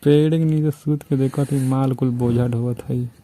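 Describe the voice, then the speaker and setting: A man's voice: medium pitch, steady tone, moderate pace, noisy background. A man with a Bhojpuri accent, a thresher operator, chatting casually and teasingly on a phone call while lying under a tree outdoors.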